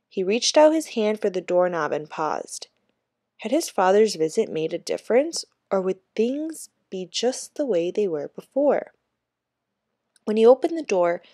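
A woman's voice: medium pitch, a little mellow, dry recording, studio quality. A woman reading a children's book aloud expressively, like a teacher with a class text.

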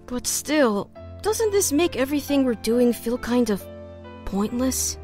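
A woman's voice: on the high side, big pitch swings, unhurried, warm tone. A woman voicing an anxious, skeptical young boy hero with an exasperated manner in a cartoon fan dub. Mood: doubtful. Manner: exasperated, doubtful. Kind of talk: animated comic fan dub. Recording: music behind.

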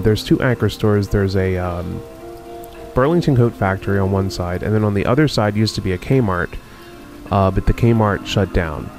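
A man with a fairly low voice narrates a tour in a relaxed, wandering way, pausing often with ums and uhs. Faint music plays underneath him. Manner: unhurried, musing, many ums and uhs. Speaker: video host touring a closed-down mall. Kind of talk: casual walkthrough narration.

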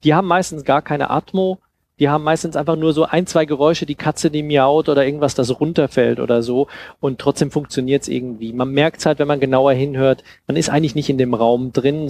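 A man's voice: medium pitch, gravelly, a little mellow. A man in his thirties explaining things informally in a festival talk, speaking into a headset mic. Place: small dim lounge room at a festival.

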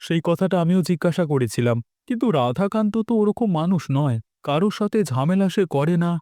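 A man's voice: a little high, animated, a little fast, warm tone, no room sound, studio quality, natural voice. A man voicing a worried, kindly village father in a cartoon, folksy and consoling in his talk. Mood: concerned, consoling. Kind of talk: dubbed cartoon dialogue.

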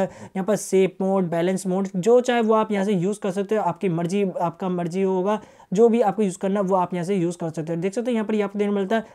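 Casting: young male tech reviewer, rapid delivery, softened mellow tone, chummy casual walkthrough style. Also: high voice. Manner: colloquial, addresses viewers chummily as brothers. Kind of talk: casual phone feature walkthrough.

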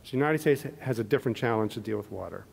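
A man's voice: on the low side, expressive, rapid-fire, creaky, a little mellow, a little hiss or hum. A middle-aged man with a Pittsburgh accent, speaking conversationally into a podium microphone to an audience in a large hall, with frequent fillers and restarts. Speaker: embassy economist and panel moderator. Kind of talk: public talk at a podium. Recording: long hall reverb.

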